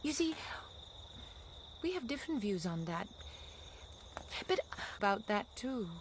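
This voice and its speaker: Resolute young wife, faintly noisy recording. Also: female voice, fairly high pitch, sing-song, unhurried. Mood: agitated, defiant. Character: resolute young wife and mother breaking free of marriage. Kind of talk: radio drama acting.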